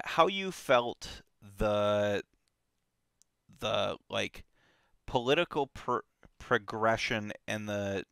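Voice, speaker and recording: A man hosting a casual book discussion, animated and full of fillers and restarts. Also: medium pitch, smooth, dry recording, studio quality. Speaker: book club podcast host.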